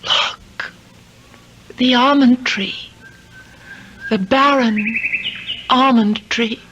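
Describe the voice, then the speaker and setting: Awestruck young daughter, slow and hushed. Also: female voice, fairly high pitch. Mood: rapt wonder. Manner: hushed, halting, wonderstruck. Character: awed, dreamy young daughter who has seen the ghost. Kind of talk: 1970s radio drama acting. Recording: dull, noisy old radio recording.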